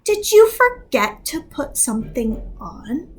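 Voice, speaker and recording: A woman voicing a patient mother frog in a storybook read-aloud, calling out and gently teasing. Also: high voice, big pitch swings, slow pace, faint music behind, exaggerated voice.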